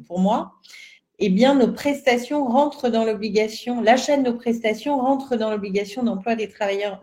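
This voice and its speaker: A woman from northern France talks on a webinar panel at a middle pitch. She explains patiently and earnestly, stopping often to find her words. It is an everyday, unhurried voice. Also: a little mellow. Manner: earnest and explanatory, with frequent hesitations. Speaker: panelist, self-employed business owner.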